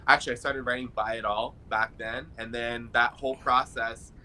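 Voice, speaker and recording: Young man, guest rapper, casual and eager, very bright voice, faint noise behind. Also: medium pitch, steady tone, moderate pace, low-quality recording. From Toronto, Canada. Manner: easygoing and enthusiastic, repeats words as he thinks. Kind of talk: casual interview chat.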